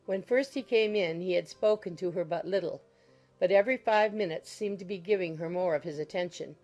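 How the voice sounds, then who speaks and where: A woman narrates a literary audiobook in a slightly low voice. She reads scripted period prose, and music plays softly underneath. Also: a little fast.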